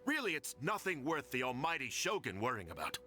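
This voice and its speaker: Slimy sneaky official, bright voice all over the place, a bit of game music behind. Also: male voice, mid-range voice, wildly swooping pitch, a little fast. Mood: smug. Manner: oily, defensive. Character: smug scheming male clan official, evasive.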